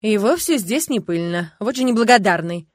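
A woman's voice: medium pitch, lively intonation, brisk, dry recording, natural voice. A courteous male castle spirit, as a woman narrator plays him, speaks with wry thoughtfulness and light mockery. It is a storybook voice in a very dry recording. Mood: indignant. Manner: thoughtful, sighing, lightly mocking.

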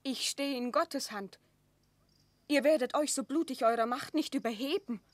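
A woman's voice: sits high, moderate pace, no echo, natural voice. An actress playing a proud, wronged captive queen in a classical radio drama, pleading in solemn, declamatory verse. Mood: defiant. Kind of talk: classical German stage drama radio play.

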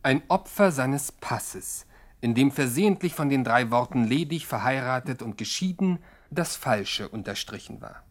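A dry co-narrator, played by a man in a 1960s radio crime comedy. He delivers his scripted lines at a medium pitch with mock solemnity. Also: expressive, moderate pace, lightly acted. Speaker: second narrator.

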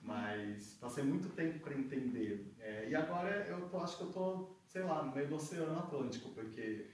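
A man's voice: mid-range voice, lively intonation, normal speed, darkish tone. A man with a Brazilian Portuguese accent from the São Paulo interior, talking reflectively in a casual conversation. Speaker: artist guest. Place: theatre garage space. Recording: roomy echo, distant mic, faint noise.

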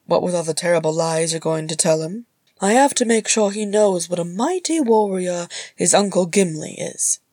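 A woman acts out a gruff dwarf warrior from a fantasy storybook. He is a doting, teasing uncle who likes mock solemnity. The voice is husky and close, with a dry sound. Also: fairly low pitch, animated, normal speed, a little mellow, dry recording. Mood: boastful, teasing.

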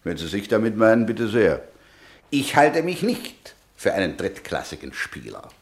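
An actor in a German radio play as a massive, proud, hot-tempered self-made millionaire, blustering, emphatic and self-important. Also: male voice, lowish voice, huge pitch swings, normal speed. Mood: offended.

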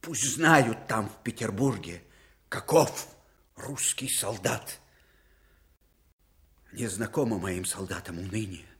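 An actor playing a weary, grieving old field marshal in a radio drama, halting and emotive. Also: male voice, lowish voice. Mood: proud, fervent. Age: older. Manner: halting, emotive, swelling from hushed to fervent. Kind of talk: dramatic radio play acting.

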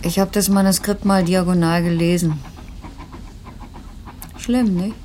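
An actress playing a sceptical, curious new publishing colleague in a 1970s German radio drama, probing and lightly ironic. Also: female voice, lowish voice, fairly even intonation, unhurried, dark tone, lightly acted. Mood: impatient, ironic. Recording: faintly noisy 1970s radio drama, train ambience.

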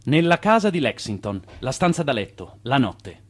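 An actor in a radio drama playing a self-assured, persuasive Italian immigrant financier and swindler with a showy manner. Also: male voice, mid-range voice, big pitch swings, normal speed. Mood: hushed, intimate.

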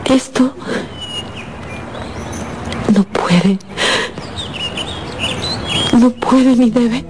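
An actress playing a young, sorrowful woman in love in a radio drama, halting, anguished and tearful. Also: on the high side, heavy background noise. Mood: despairing. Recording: loud music behind the voice. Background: loud music.

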